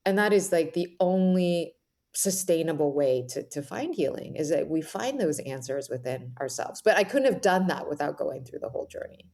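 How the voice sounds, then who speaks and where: A woman guest author talking candidly in a conversational interview, telling her story in an animated way with lots of "like" and "right?". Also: sits low, normal speed. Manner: animated storyteller, acts out quoted thoughts, says "like" and "right?" often; candid, self-described intense.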